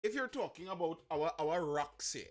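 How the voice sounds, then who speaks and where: A man's voice: fairly high pitch, expressive, normal speed. A man with a Trinidadian accent hosting a live news discussion, talking conversationally and often rephrasing his points. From Trinidad and Tobago.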